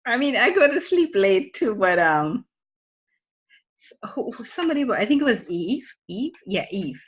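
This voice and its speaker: A woman's voice: a little high, sing-song, moderate pace. A woman from Trinidad, a student chatting casually with classmates on a video call, often laughing and restarting her sentences. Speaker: student in group meeting. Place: home in Florida. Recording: muffled lo-fi video call audio.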